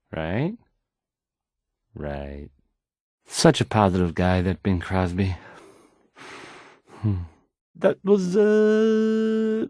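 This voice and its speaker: A man's voice: mid-range voice, no echo, studio quality. An actor playing a timid, awkward young male radio DJ in a video game, stammering, hesitant and apologetic. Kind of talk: post-apocalyptic video game voice acting.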